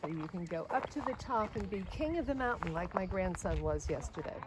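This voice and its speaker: An older woman with a medium-pitched voice talks to young children like a storyteller showing them around. She explains things directly and informally. The recording is made outdoors, with clear noise behind her. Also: lively intonation, a little fast. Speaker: volunteer story reader and garden guide. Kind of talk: read-aloud for children, informal guided tour. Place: outdoors in a botanic garden. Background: outdoor ambience, noise.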